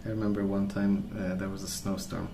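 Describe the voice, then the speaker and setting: A man speaking softly as an ASMR host, rambling through stories in a hesitant, pausing, unhurried way. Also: low-pitched, level tone, gravelly, background noise. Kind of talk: soft-spoken ASMR rambling.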